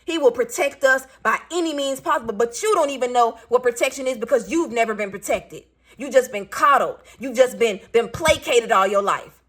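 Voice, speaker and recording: Raspy, blunt woman venting to camera. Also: a little high, a bit bright. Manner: blunt, profane, emphatic. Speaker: single parent vlogger.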